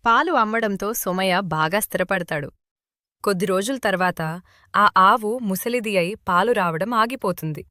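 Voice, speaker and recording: Woman reading a kids moral story, normal pitch, dry studio-ish sound. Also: moderate pace, sharp tone, no room sound. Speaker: storyteller narrator. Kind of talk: scripted narration of a children's moral tale.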